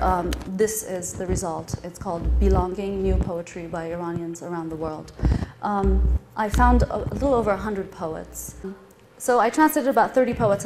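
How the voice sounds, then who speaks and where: A middle-aged woman, a literary translator whose first language is Persian, talks to a live audience at a reading event. She explains her work in a thoughtful, steady way and pauses often on fillers. Her pitch sits in a middle range, with a faint hiss of noise under the recording. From born in London, grew up partly in Iran. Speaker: literary translator giving a talk.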